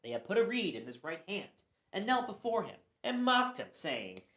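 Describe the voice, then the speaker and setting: A man's voice: sits high, sing-song, moderate pace, a bit bright. A man narrating scripture for a church radio play, reading earnestly and sometimes stumbling. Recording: echoey room.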